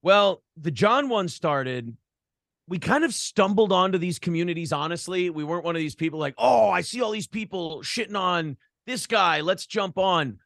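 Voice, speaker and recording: A man's voice: sits high, lively intonation, moderate pace, gravelly, a bit bright, dry recording, clean studio sound. An American man chatting casually as an interview guest, telling rambling stories in a blunt, profane way. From Minnesota, United States. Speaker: podcast and morning show host, interview guest. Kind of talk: casual interview chat, storytelling.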